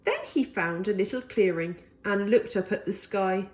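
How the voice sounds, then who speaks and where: A woman's voice: mid-range voice, normal speed. A woman reading a children's picture book aloud as storyteller, expressively and with a rhythmic rhyming delivery.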